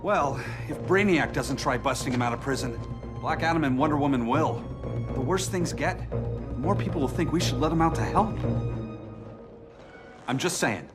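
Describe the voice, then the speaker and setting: A man's voice: a little high, expressive, moderate pace, pauses often, over music, natural voice. A man doing a quippy young speedster hero. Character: quick-witted young male speedster hero. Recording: game cutscene under loud score.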